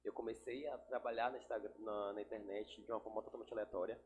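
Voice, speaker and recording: Guy in his 30s, relaxed and chatty, guest on a podcast. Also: on the low side, fast, muffled recording. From Salvador, Brazil. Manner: relaxed, chatty, laughs easily. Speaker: guest, digital influencer. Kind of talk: casual podcast interview.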